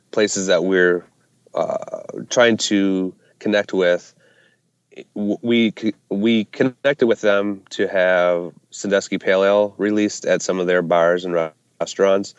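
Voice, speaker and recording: A man's voice: low-pitched, clear, resonant tone, dry recording, dull audio. A man, co-founder of a small brewery, answering interview questions casually with many fillers and restarts. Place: Ohio hometown brewery.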